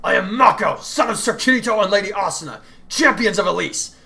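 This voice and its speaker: A man voicing an angry young mage-swordsman who shouts furiously. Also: high voice, lively intonation, brisk, creaky, voice pushed up, exaggerated voice.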